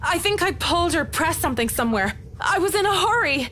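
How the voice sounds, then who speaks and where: An actress playing a terrified, rattled young woman in a horror visual novel, halting, pleading and prone to swearing. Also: very high voice, lively intonation, a bit bright, slight background noise. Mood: hesitant. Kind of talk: dramatic visual novel voice acting.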